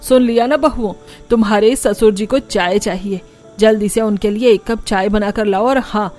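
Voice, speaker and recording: A woman's voice: medium pitch, normal speed, a little mellow, close to an everyday voice. A woman voicing a fed-up, bossy mother-in-law who scolds her household in a cartoon story. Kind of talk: Hindi cartoon story dialogue. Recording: music under the voice.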